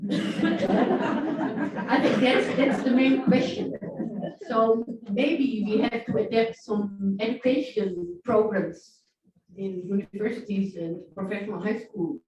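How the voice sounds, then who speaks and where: A woman's voice: mid-range voice, darkish tone, slight background noise. A woman from the Netherlands making a hesitant comment from the audience at a workshop, with many restarts. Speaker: workshop participant. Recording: echoey hall, far from mic, muffled.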